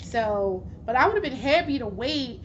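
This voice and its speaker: Hoarse lady rambling about games, voice a bit high, muffled lo-fi sound with hiss behind. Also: moderate pace. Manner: rambling, swears freely, frequent fillers. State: hoarse, losing her voice. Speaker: gaming vlogger. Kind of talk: casual chat. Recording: dull lo-fi recording with steady background noise.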